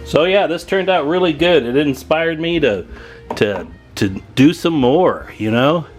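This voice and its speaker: A man's voice: fairly high pitch, animated, a little slow, music in the background. A man hosting a hobby video, talking through a hands-on demonstration in an informal, chatty, slangy way. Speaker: host of a CB radio repair video.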